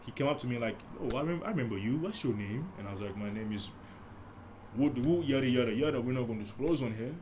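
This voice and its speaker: A young man with a deep, mellow voice hosting a casual solo podcast, telling a story with many restarts and asides. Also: animated, fast, a little hiss or hum. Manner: rambling, many restarts and asides, speaks softly. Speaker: podcast host.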